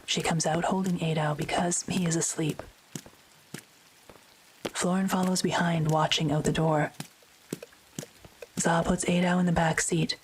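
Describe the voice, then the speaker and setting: A woman narrating a scripted audio drama in a matter-of-fact, measured way. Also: on the low side, little pitch movement, slight background noise, low-quality recording.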